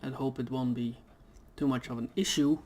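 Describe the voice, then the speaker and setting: A young man talks casually over a game at home into a desk mic, and he rambles and hesitates with plenty of fillers. His throat is irritated, so he keeps clearing it. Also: mid-range voice, fairly even intonation, normal speed, darkish tone, slight background noise. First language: Dutch. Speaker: gaming YouTuber. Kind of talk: casual let's play commentary. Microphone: desk microphone.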